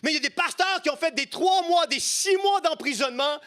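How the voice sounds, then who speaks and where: A man's voice: extremely high pitch, a little fast, a bit bright. A middle-aged man with a Quebec French accent preaching an impassioned sermon, emphatic and rhetorical, repeating key phrases. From Quebec, Canada.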